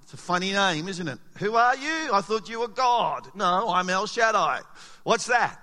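A male pastor delivers an animated sermon in a high, bright, forceful voice with a slight rasp. His words ring out through a church hall with a long reverb. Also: moderate pace. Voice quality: slightly raspy. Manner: animated, emphatic preaching with rhetorical questions.

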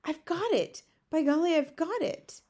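A woman's voice: a little high, animated, moderate pace, mellow. A woman voicing a spirited young girl from a children's storybook, indignant and determined, speaking emphatically. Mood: excited, determined. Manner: emphatic, insistent. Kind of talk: children's storybook character reading.

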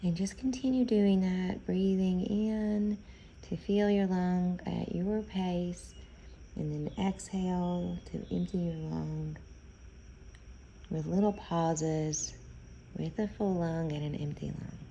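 A woman leading a guided meditation in a soothing, gentle, unhurried manner, pausing often between phrases. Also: on the low side, steady tone, vocal fry, highs cut off, a little hiss or hum.

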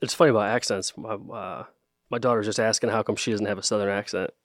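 A man's voice: fairly low pitch, fairly even intonation, quick pace, no echo, studio quality. A man from Ohio hosting a casual church men's conversation, friendly and rambling, often restarting sentences. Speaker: podcast host.

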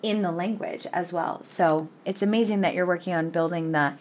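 A woman hosts an education podcast, putting questions to a guest. She is articulate and affirming, with a mid-pitched voice. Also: normal speed, slight background noise. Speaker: podcast host and language teacher. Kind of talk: podcast interview.

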